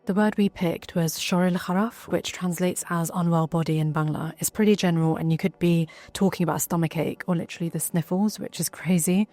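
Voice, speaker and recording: Low, flat-toned conversational woman. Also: a little fast, hardly any pauses, faint music behind. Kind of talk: personal testimony for a video.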